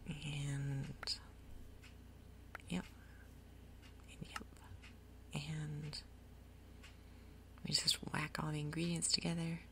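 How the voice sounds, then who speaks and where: A woman giving soft-spoken ASMR game commentary in a breathy, gentle, unhurried way. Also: low-pitched, flat delivery, slight background noise. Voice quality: breathy, whispery. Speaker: gaming video host.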